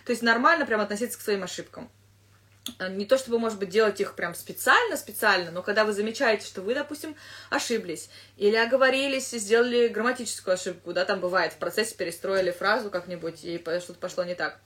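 A woman English teacher giving casual advice to fellow teachers, thinking aloud with lively asides. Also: mid-range voice, fast.

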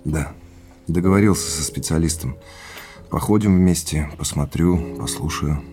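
Low-voiced, troubled businessman. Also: normal speed, music in the background. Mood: weary, downcast. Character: troubled businessman husband, subdued, stubbornly independent. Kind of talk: naturalistic audio drama dialogue.

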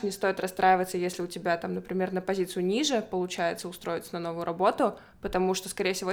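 A young woman hosting a casual conversation, framing long thoughtful questions. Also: on the low side. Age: young adult. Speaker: podcast host.

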